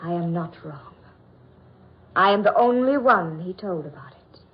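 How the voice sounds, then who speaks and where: An actress playing a cool, taunting captive heroine with deliberate, dramatic 1940s radio drama delivery. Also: female voice, fairly low pitch, animated. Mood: cool, pointed. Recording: muffled old radio recording.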